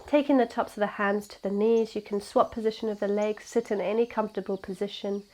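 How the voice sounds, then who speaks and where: A young woman teaching a gentle yoga class, her voice congested and nasal from a cold, guiding slowly and calmly. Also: medium pitch, low-quality recording. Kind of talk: guided yoga class instruction.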